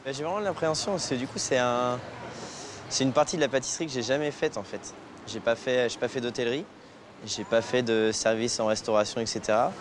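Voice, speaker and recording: A man's voice: mid-range voice, fairly quick. A man in his twenties talking casually and candidly as a new pastry employee, outdoors in the city. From France. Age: 20s. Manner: relaxed, candid, chatty. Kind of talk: casual interview. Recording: outdoor street noise.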